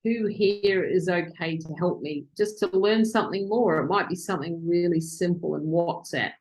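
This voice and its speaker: A woman interviewing virtual assistants on a video call, excitable and quick to speed up when she gets going. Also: fairly low pitch, rough recording.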